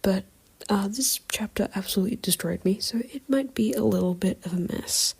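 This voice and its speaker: A woman leaving an informal voice note as a listener, halting and very breathy, often dropping toward a whisper. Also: medium pitch. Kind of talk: informal voice message.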